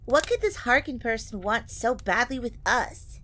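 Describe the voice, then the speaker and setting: A woman's voice: on the high side, big pitch swings, normal speed, sharp tone, a little hiss or hum. A woman playing an incredulous vampire daughter in an audio drama, questioning emphatically. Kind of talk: scripted audio drama acting.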